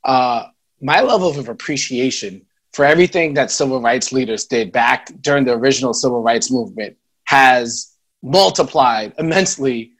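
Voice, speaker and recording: Podcast co-host, man, mid pitch, chatty questions. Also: moderate pace, a bit bright. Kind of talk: casual podcast chat.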